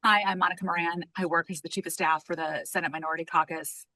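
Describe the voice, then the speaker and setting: Woman, political staffer, low pitch but bright tense tone, fast and brisk on a video call. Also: fairly even intonation, dry recording. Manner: brisk, upbeat. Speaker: chief of staff. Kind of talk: self-introduction.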